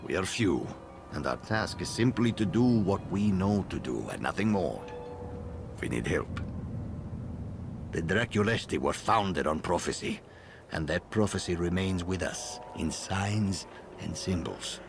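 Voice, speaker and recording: Deep, gravelly, solemn keeper of prophecy. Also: male voice, animated, background noise, exaggerated voice. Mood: grave, foreboding. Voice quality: low, gravelly. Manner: grave, deliberate, speaking in portents. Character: solemn male member of an ancient prophecy-bound order. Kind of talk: video game cutscene acting.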